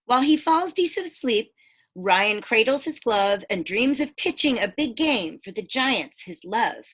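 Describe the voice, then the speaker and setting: A woman teacher reading a picture book aloud to children, expressive, with chatty explanations and questions for the listeners. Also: fairly high pitch, a little slow, slightly rough.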